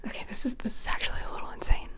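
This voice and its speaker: A woman hosting an ASMR video, whispering breathily to viewers in a flustered, halting way, often repeating herself.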